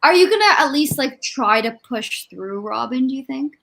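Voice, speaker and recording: A woman's voice: sits high, expressive, moderate pace, vocal fry, a bit bright. A woman chatting casually about books as a livestream co-host, exasperated and a little self-deprecating.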